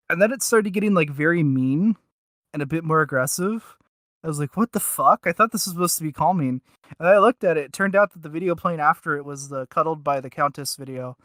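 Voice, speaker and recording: Bright, higher-pitched man chatting casually. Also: no echo, clean studio sound. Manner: joking, halting, self-interrupting. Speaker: podcast co-host reviewing audios. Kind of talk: casual review chat.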